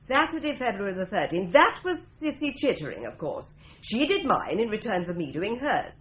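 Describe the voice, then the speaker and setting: A woman playing a sharp-tongued, gossipy British office secretary in a radio crime drama, brisk and dry. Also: medium pitch, very animated. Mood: teasing. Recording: muffled radio drama recording.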